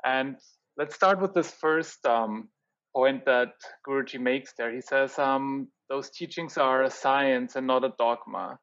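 A man who is not a native English speaker, hosting a casual discussion in a measured, soft-spoken way with frequent fillers. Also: medium pitch, dull audio. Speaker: host.

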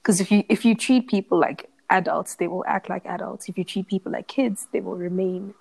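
A woman's voice: mid-range voice, fairly even intonation, brisk, vocal fry, darkish tone. Schoolgirl, late teens, blunt casual co-host. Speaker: podcast co-host. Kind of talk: casual chat.

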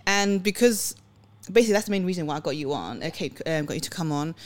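A woman's voice: low voice, lively intonation, quick pace. A young woman from Sweden hosting a casual podcast chat, talking in a rambling way with many restarts. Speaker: podcast host.

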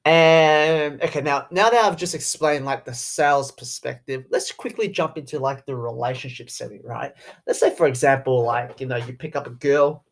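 A young Australian man in his twenties talks casually in a podcast monologue, at an ordinary middle pitch. He rambles, doubling back often and padding his sentences with "you know". It sounds like an easy, unscripted chat. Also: normal speed, creaky. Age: 20s. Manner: rambling, heavy use of "you know" fillers. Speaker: podcast host, sales rep.

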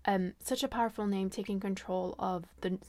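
A woman co-hosting a casual podcast, lively and complimentary. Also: mid-range voice, moderate pace, no echo. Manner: lively, complimentary, speaks with her hands. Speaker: podcast co-host.

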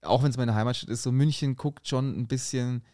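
A man's voice: medium pitch, fairly quick, no room sound, clean studio sound. Munich man rolling his R's. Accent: Munich, with a rolled R. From Munich, Germany. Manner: relaxed, thoughtful, many hedges. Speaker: podcast guest, stand-up comedian. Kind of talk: casual podcast chat.

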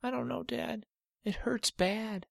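A man voicing a small frightened young girl, with a high whiny childish voice. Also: steady tone, slow pace, gravelly, a bit bright, no room sound, studio quality, exaggerated voice. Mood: hurt.

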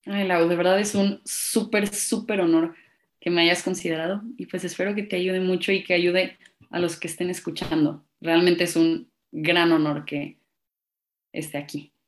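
A woman's voice: on the low side, level tone, moderate pace. A young woman from Monterrey with a Mexico City Spanish accent, chatting casually and playfully as a podcast guest. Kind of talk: casual chat.

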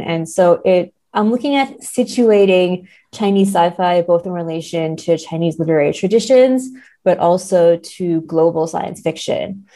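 A woman's voice: medium pitch, dark tone. A woman, an academic guest on a podcast, talking in an articulate, scholarly way. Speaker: academic guest, professor and literary translator. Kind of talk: podcast interview, explaining research.

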